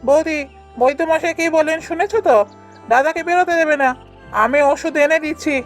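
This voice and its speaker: A woman's voice: sits high, a little fast, soft background music, lightly acted. A woman voicing a stubborn, argumentative younger brother, a village trader, in a cartoon. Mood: insistent. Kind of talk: cartoon voice acting.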